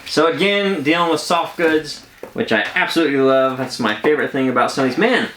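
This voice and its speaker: A man's voice: a little high, a little fast, a bit bright. A man hosting an unboxing video in casual, rambling chat, often interrupting himself. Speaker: host of a collectibles unboxing channel. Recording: echoey room, some background noise.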